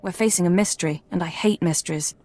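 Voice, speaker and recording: An actress playing a self-assured, analytical intelligence officer in a video game, formal and controlled. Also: female voice, lowish voice, fast talker, darkish tone, natural voice. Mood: confident. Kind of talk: video game drama acting.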